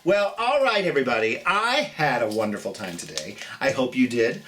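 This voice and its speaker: An American storytime host reading a picture book to children and chatting in a gentle, theatrical way. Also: medium pitch, very animated, normal speed, far from the mic.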